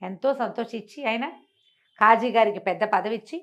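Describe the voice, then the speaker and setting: A woman's voice: on the high side, moderate pace, a bit bright, dull audio. A woman storyteller. She narrates a moral fable expressively, acting out the exchanges and putting questions to her listener as she explains.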